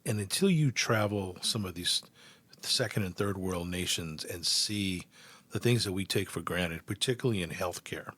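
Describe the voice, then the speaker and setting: Deep-voiced man, chatty podcast host. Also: normal speed, fairly bright tone, husky. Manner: chatty, meandering questions. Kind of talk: casual interview questions.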